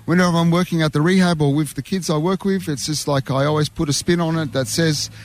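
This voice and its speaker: A man's voice: fairly high pitch, fairly even intonation, quick pace. Talkative man, rambling. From Armenian heritage. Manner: talkative, rambling, many fillers. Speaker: interview guest, youth worker. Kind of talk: casual chat. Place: outdoor community event.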